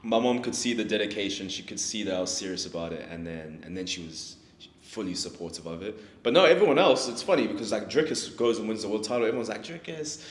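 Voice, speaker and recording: A young man from Africa, talking through his story in a laid-back, halting way as an interview guest. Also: lowish voice, expressive. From South Africa. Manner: laid-back, hesitant, restarts often. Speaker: guest, fighter. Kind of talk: casual interview. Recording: echoey room with faint noise.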